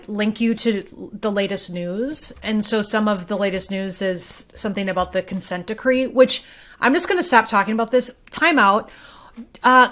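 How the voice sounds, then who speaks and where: A woman's voice: a little high, fairly bright tone. American woman in casual conversation. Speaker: journalist guest co-commentator. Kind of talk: casual chat.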